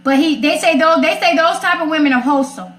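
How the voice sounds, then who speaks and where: A woman's voice: sits high, fast talker, a bit bright, faint music behind. An American woman hosting a casual radio chat, outspoken, sassy and emphatic as she gives her opinions. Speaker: radio segment host. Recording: roomy echo.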